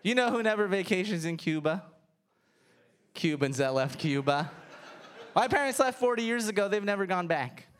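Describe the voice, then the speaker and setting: A man doing stand-up before a live theater crowd, his voice high and animated. He talks in a loose, storytelling way. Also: normal speed, long pauses, clear, resonant tone. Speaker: stand-up comedian. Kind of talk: stand-up comedy set.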